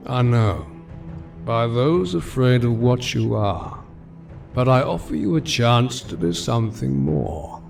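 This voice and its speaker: A man's voice: medium pitch, expressive, takes it slowly, creaky, darkish tone, faint music behind. A man playing a commanding, scornful supervillain in an audio drama, with a grandiose, menacing manner, heard from a distance. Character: commanding, scornful male supervillain recruiting followers.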